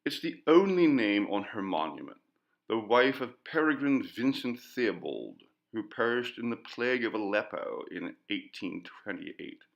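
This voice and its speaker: A man's voice: lowish voice, sing-song, a little slow, gravelly, lo-fi sound, voice pushed up, full character voice. A man voicing a wry, uneasy, genteel lady in an audiobook ghost story. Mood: musing. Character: Lady Jane, uneasy, wry English gentlewoman. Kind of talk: audiobook character dialogue.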